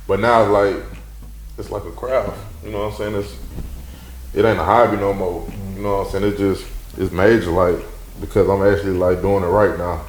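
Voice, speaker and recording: Laid-back rapper with a deep voice. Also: male voice, fairly even intonation, fairly quick, echoey room, slight background noise, rough recording. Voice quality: deep mellow voice. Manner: laid-back, rambling, slangy, many fillers. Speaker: rapper, guest. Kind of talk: casual interview talk.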